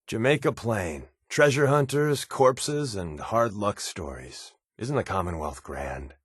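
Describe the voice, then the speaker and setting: A man voicing a wry, secretive spy companion in a video game, with casual, sardonic, deadpan quips. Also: lowish voice, slow, husky, no room sound, studio quality, full character voice. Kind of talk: game companion dialogue lines.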